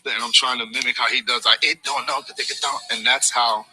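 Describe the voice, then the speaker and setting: A man with a deep voice, recalling a recording session in an interview clip. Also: steady tone, a little fast, bright, forceful tone, faint music behind, rough recording. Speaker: singer interviewed in archive clip. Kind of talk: anecdotal interview recollection.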